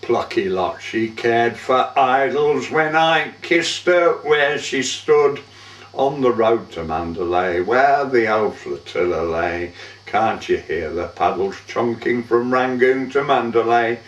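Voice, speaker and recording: A man recites poetry as a dramatic monologue at a slow, measured pace, stretching the ends of his lines theatrically. The recording is dull and echoey, as if he stands well away from the microphone. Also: mid-range voice, expressive. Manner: measured, theatrical, drawn-out line endings. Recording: dull, echoey room, far from mic.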